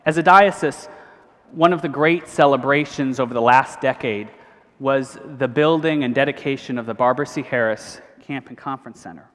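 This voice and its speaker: A priest, giving an earnest, measured convention speech. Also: male voice, mid-range voice, fairly bright tone. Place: large cathedral. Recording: long hall reverb.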